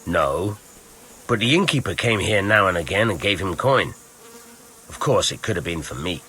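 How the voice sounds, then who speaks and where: A man's voice: deep voice, lively intonation, moderate pace, sharp tone, a little hiss or hum. An actor playing a plain-spoken village tanner in a video game, a guarded father with rustic speech. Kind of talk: video game cutscene acting.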